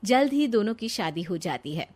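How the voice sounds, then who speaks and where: A woman's voice: mid-range voice, very animated, normal speed, darkish tone. A woman narrating a scripted cartoon moral story as its storyteller.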